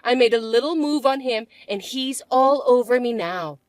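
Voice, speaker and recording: A woman voicing a shrill, spoiled stepsister. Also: lively intonation, moderate pace, no echo, lightly acted. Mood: taunting. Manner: shrill, taunting and bossy. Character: spoiled, mocking young adult stepsister.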